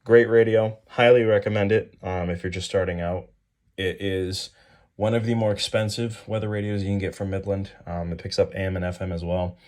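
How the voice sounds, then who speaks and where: Very low-voiced man, casual. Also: fairly even intonation, fairly quick, darkish tone. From New England, United States. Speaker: hobbyist video host. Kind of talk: casual show-and-tell product rundown.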